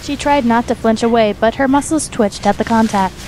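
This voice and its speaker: A woman's voice: on the high side, brisk, darkish tone, a little hiss or hum. A woman narrator reading a dramatic fiction story from a script.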